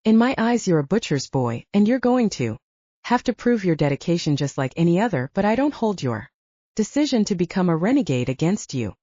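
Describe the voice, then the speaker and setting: Woman acting a frank, commanding female investigator, animated. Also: on the low side, a little fast, no echo. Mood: firm, coaching. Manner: blunt, commanding, coaching. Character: brisk, frank female supernatural investigator and mentor. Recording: dull lo-fi, very dry.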